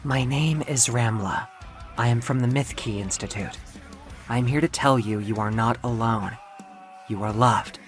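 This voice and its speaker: Mysterious young woman of dreams , played by a male narrator: gentle, soothing, earnest pleading for an audio comic. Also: mid-range voice, normal speed, soft background music, close to an everyday voice. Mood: reassuring, pleading. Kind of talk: audio comic book drama.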